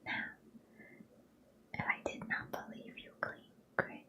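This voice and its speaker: A woman's voice: takes it slowly, sharp tone. A woman whispering the part of an earnest, hearty male suitor in a put-on Southern accent. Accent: put-on Southern American.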